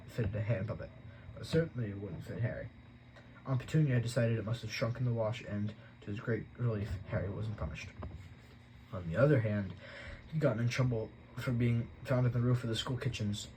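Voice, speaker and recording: A man reading an audiobook aloud at a steady pace, sometimes slipping and correcting himself. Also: medium pitch, slight background noise, low-quality recording. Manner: steady reading, occasional slips and self-corrections. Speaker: audiobook reader. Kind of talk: amateur audiobook narration.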